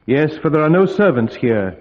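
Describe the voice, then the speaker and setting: Earnest young solicitor, high-pitched, measured, theatrical gothic radio acting, tinny vintage recording. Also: male voice, sing-song. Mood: musing, uneasy. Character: earnest young male English solicitor, spellbound and uneasy. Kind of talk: old-time gothic radio acting, diary narration. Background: music.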